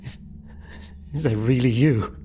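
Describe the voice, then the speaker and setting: A man's voice: mid-range voice, mellow, a little hiss or hum, natural voice. An actor playing a panicked, stammering man trapped in a nightmare, in an audio drama. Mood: stunned, nervous. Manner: halting, stammering.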